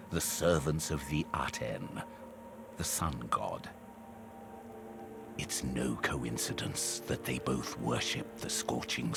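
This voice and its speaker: An actor playing a grave, wise old mystic in a video game, with a gravelly, measured, ominous delivery. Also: male voice, rumbling low, heavily acted. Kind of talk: solemn video game storytelling.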